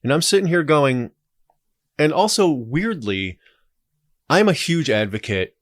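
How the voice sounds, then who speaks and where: A man's voice: on the high side, big pitch swings, a little slow, no echo, clean studio sound. A man in his forties talking casually as a podcast guest, reflective and opinionated. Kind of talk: casual conversational interview.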